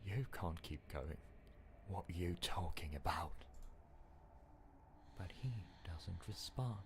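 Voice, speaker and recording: An eerie, menacing figure from a horror game, acted out by a man. The low voice draws words out theatrically and often sinks toward a whisper. Clear background noise sits behind this amateur recording. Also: expressive, slow pace, full character voice. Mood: despairing, hushed. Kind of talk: dramatic game script reading.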